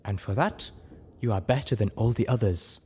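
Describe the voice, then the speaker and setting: Man voicing a grave, haunted zebra mare, low-voiced. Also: dark tone, breathy edge. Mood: sorrowful. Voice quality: low and heavy. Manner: grave, halting short commands. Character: scarred zebra mare, weary, haunted former slave.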